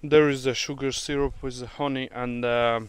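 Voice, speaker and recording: A non-native English-speaking man, a beekeeper, demonstrating outdoors in a grassy field and talking haltingly with many fillers. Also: medium pitch, steady tone, clear, resonant tone. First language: not English. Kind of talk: hands-on demonstration. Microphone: handheld camera mic. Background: bees buzzing.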